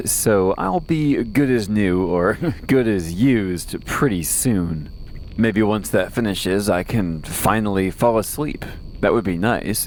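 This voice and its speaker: A man's voice: low voice, slight background noise. A man playing a wry, weary post-apocalyptic radio host who rambles conversationally through a late-night audio drama monologue. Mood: hushed, weary. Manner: conversational, wry, rambling asides and self-corrections.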